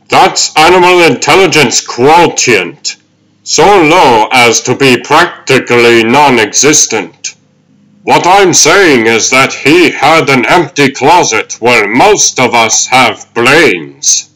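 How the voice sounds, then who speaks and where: A man's voice: medium pitch, animated, slow pace, a bit bright, low-quality recording. A man voicing a wordy old turtle showman in a comic dub, with theatrical sales patter. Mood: grandiose pitch. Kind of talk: comic dub character acting.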